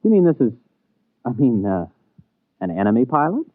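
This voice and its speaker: A man's voice: mid-range voice, sing-song, moderate pace, no echo, lightly acted. An actor playing a plain-spoken, wry rocket pilot captain, a somewhat flustered everyman hero in a 1950s radio drama. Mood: flustered, hesitant. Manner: hesitant, casual, wry. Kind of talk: 1950s radio drama acting with first-person narration. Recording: muffled, narrow 1950s radio broadcast.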